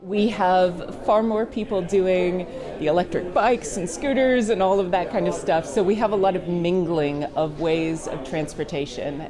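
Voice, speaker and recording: A woman giving spontaneous interview remarks as a local resident. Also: fairly low pitch. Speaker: local business owner interviewee. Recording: busy crowd chatter behind.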